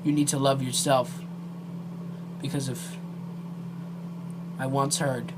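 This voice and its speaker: Amateur vlogger, mid-pitched voice, heartfelt and halting delivery, clear noise on a homemade recording. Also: little pitch movement. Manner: earnest, emotional, hesitant. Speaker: amateur video creator. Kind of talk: earnest direct-to-viewer vlog talk.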